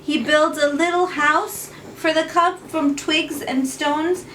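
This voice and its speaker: A woman's voice: sits high, fairly even intonation, takes it slowly, fairly bright tone, recorded from a distance, slight background noise, poor audio. A woman narrating a bedtime story for small children, repeating phrases for effect.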